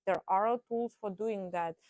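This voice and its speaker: A woman talks through her ideas on a panel, pausing on fillers and checking in with "right?" as she goes. Her voice sits mid-range, and the sound is dry and close. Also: mid-range voice, a little mellow, no echo. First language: Russian. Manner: thoughtful, frequent "right?" tags and fillers. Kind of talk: panel discussion.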